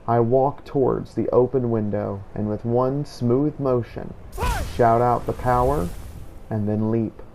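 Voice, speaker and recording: Casting: male gamer-narrator with a gravelly, mid-pitched voice, recounting a roleplay playthrough as a measured story. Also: fairly even intonation, mellow, slight background noise, poor audio. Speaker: video game player and narrator.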